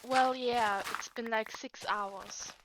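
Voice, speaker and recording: A woman's voice: fairly high pitch, fairly even intonation, slow pace, background noise. A young woman chatting bluntly and sarcastically with a friend during a game. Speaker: gaming friend. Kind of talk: casual gaming chat.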